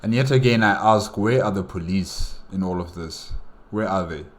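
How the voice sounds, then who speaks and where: A man with a low-pitched voice reacts casually to what he is watching. He thinks out loud, hesitating and musing, and poses rhetorical questions as he goes. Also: moderate pace, noisy background. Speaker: host reacting to a game movie.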